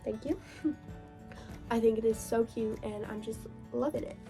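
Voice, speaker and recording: Young woman vlogging, kinda high voice, sick and stuffy and gravelly, super gushy and giggly, faint music underneath. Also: normal speed, dark tone, poor audio. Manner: gushing, chatty, laughs often. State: hoarse, stuffed up from a cold. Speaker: book vlogger. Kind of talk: casual reading wrap-up chat.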